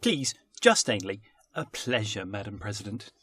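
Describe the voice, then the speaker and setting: Questioning male sidekick for sci-fi audio drama, played by a man: husky, animated, courteous, dry sound. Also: lowish voice, moderate pace, dry recording, heavily acted. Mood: polite, doubtful. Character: polite, sceptical travelling companion.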